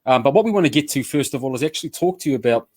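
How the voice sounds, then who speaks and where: A man's voice: mid-range voice, animated, racing, darkish tone, dry recording, studio quality. A man with a New Zealand accent hosting a casual radio chat show, upbeat and loose with slang, often restarting his sentences. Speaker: show host.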